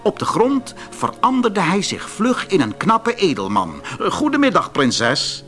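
A man narrating a scripted fairy tale audiobook in an expressive, dramatic storytelling manner. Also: high-pitched, unhurried, faint music behind.

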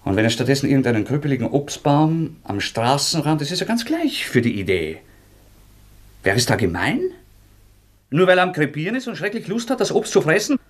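An actor in a radio drama playing a feverish, obsessive young former student, halting and repeating himself with intensity. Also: male voice, fairly high pitch, wildly swooping pitch. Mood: feverish, agitated. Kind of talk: German radio drama acting.

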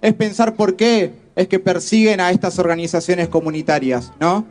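A woman's voice: lowish voice, fairly even intonation, normal speed, a little mellow. Emphatic Argentine woman at a rally. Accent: Argentine Spanish. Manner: emphatic, rousing. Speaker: activist speaker at a rally. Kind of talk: rally speech.

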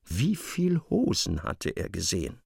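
A man's voice: low-pitched, huge pitch swings, unhurried, creaky, husky, no echo, studio quality. A man narrating a literary radio play in a dramatic, scripted style.

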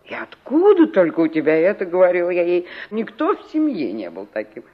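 An actress playing a middle-aged, complaining village aunt in a 1960s radio play, emphatic and full of rhetorical questions. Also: female voice, on the low side, huge pitch swings, a little fast, exaggerated voice. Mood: exasperated. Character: exasperated village aunt fretting over her stubborn niece. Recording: dull vintage radio recording.

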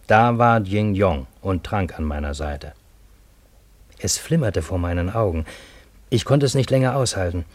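An actor narrating a radio drama as a troubled Parisian man, with a husky voice and measured, literary, dramatic delivery. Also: low-pitched, a little mellow, dry recording. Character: troubled Parisian man recounting his fantastic time-loop adventure.

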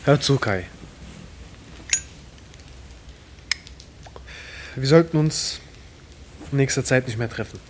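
A man's voice: medium pitch, moderate pace, a little hiss or hum, close to an everyday voice. A man acting a streetwise young petty criminal in an audio drama, slangy, brash and blasé. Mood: serious, placating.

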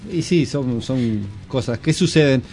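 A man's voice: mid-range voice, a little slow, darkish tone. A man with a Buenos Aires accent hosting a casual interview, talking in a colloquial, joking way with many fillers. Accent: Buenos Aires Spanish. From Argentina. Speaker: radio show host.

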